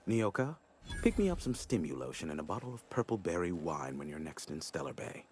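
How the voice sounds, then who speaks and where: A man's voice: low voice, big pitch swings, a little fast, darkish tone, slight background noise. An actor playing a brusque man who hands out jobs in a curt way.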